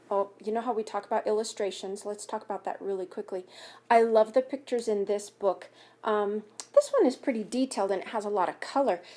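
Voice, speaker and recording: A woman reading a picture book aloud to children like a school teacher, pausing to explain things and ask questions. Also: on the high side, mellow. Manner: instructive, asks listeners questions. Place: home basement by a fire.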